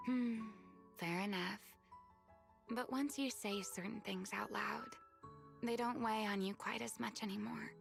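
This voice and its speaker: An actress playing a weary, guarded woman who is half monster in a video game, musing and coy in a breathy murmur. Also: on the high side, steady tone, a little slow. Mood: coy, teasing. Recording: game music under the voice.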